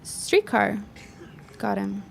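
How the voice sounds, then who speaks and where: A woman's voice: mid-range voice, sing-song, drawn-out words, vocal fry, full character voice. Woman playing a wry, bookish schoolgirl, noisy surroundings. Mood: coolly polite. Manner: wry, precise, literary. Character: witty, bookish high school girl, wry and eloquent. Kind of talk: period audio drama acting.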